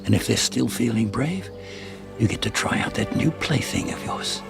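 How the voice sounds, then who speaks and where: A man's voice: lowish voice, normal speed, creaky, over music. An actor playing a haughty medieval lord leading his men in a video game, imperious and dryly sardonic. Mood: conspiratorial. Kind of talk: video game cutscene acting.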